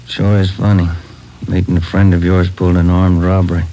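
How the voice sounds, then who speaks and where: An actor playing a wounded but easygoing male police detective in a 1950s radio drama, talking in a relaxed, offhand way. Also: low voice, fairly quick, highs cut off, a little hiss or hum, natural voice.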